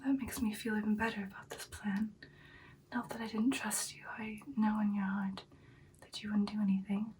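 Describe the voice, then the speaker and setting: A woman playing a devoted, hesitant vampire girlfriend in an ASMR roleplay, speaking in a soft, breathy, halting whisper. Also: medium pitch, far from the mic, a little hiss or hum, lightly acted, rough recording. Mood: reassured, loyal. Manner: soft, halting, deliberate, intimate. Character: devoted, hesitant female vampire girlfriend plotting with her werewolf lover.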